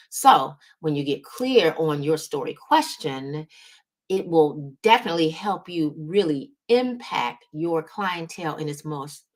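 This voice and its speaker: Woman, low pitch, business coach, motivational advice talk, emphatic delivery, repeated words, frequent check-ins with the listener.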